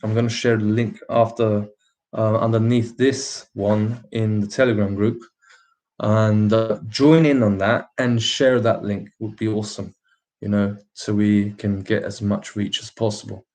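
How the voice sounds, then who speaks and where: A man's voice: on the low side, level tone, unhurried, darkish tone. A man with a slightly British accent hosting a casual livestream, putting emphatic rhetorical questions to his viewers and laughing often between remarks. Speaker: livestream host.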